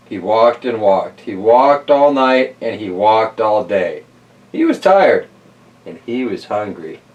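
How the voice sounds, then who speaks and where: An easygoing man hosts a children's storytime, chatting casually and reading aloud. His medium-pitched voice swings expressively from low to high, with musing asides, and he is recorded a bit away from the mic. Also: distant mic, slight background noise.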